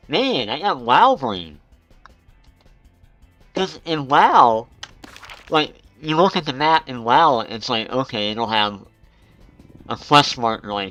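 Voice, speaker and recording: A man's voice: mid-range voice, pushed and bright, no room sound. A man in his forties chatting casually as he streams a game, rambling and musing aloud. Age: 40s. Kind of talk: casual live-stream chat while gaming.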